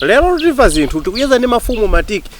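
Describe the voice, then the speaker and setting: A man's voice: very high voice, very animated, racing, background noise. A Malawian man hosting an interview show in a conversational style, introducing his guest and chiming in. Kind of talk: conversational hosting with frequent backchannels.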